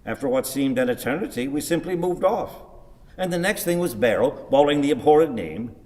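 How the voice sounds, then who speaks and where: A man's voice: fairly high pitch, animated, brisk, a little mellow, close to an everyday voice. Give me a man acting a declamatory old husband, heavily reverberant. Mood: brooding recollection. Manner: declamatory, ponderous, wordy. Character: grumpy, long-winded old husband, bitter and pedantic. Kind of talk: theatrical radio play acting.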